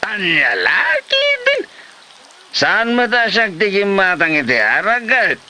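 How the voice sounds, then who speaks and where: A man's voice: high voice, wildly swooping pitch, takes it slowly, noisy background, exaggerated voice. A man playing a lazy, boastful, hard-drinking husband in a comedy drama, dismissive and cheerfully defiant. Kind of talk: Ilocano comedy drama acting.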